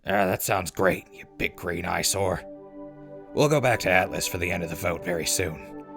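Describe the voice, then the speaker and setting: A man plays a laid-back wasteland DJ with a low voice in an audio drama. He reads news and ads in a wry, grumbling, informal way over clearly audible music. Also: moderate pace. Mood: wry, easygoing. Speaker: radio host and news reader. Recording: music behind voice.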